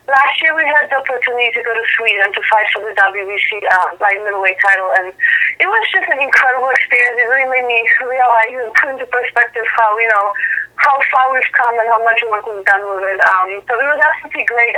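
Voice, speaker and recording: Bright-voiced woman in her thirties, pausing often. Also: fairly high pitch, poor audio. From Poland. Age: 30s. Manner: thoughtful, with frequent "um" pauses. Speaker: professional boxer and guest. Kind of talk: interview answers.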